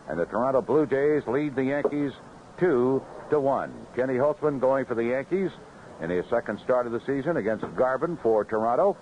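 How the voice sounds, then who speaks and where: An American man giving a seventies baseball radio report in a measured, conversational way. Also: medium pitch, a little mellow. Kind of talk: 1970s radio scoreboard report and sponsor reads. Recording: dull, faintly noisy 1970s AM radio.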